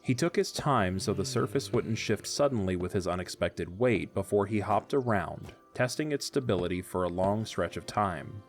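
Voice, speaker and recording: Low-voiced man narrating a story. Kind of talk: scripted audio drama narration.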